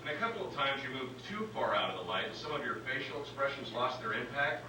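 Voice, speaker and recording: A man's voice: medium pitch, big pitch swings, fast talker, close to an everyday voice. A man playing a genial, experienced comedian who gives polite advice in a conversational staged reading. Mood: advising. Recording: echoey room, distant, noisy.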